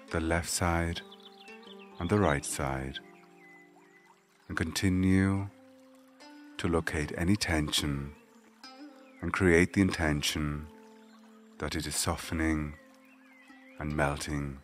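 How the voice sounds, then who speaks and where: A man leading a guided meditation, giving gentle, measured spoken instructions. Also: extremely low pitch, steady tone, faint music behind.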